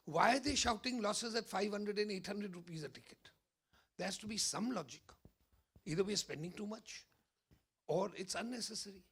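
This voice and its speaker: Hoarse, gravelly man, emphatic. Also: high-pitched, moderate pace, fairly bright tone. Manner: emphatic, persuasive, confident predictions. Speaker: film distribution executive. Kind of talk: press interview at an event.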